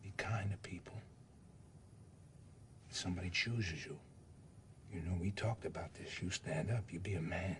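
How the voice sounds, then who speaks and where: A man's voice: deep voice, brisk, noisy background, natural voice. An actor performing a stage monologue as a dying father who whispers tender last advice to his son. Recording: distant from the mic.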